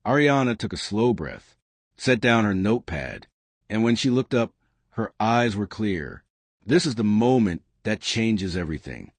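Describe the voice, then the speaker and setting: A male narrator telling a dramatic story with lively storytelling delivery. His voice sits on the low side and moves widely in pitch, recorded close and completely dry. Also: normal speed, husky, no echo.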